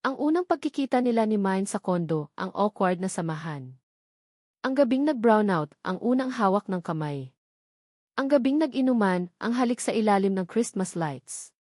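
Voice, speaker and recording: An earnest woman narrates a romantic story with gentle feeling and expressive phrasing. Her voice sits at a middle pitch. The recording is close and dry, with no room sound. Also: moderate pace, dry recording. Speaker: storyteller and author.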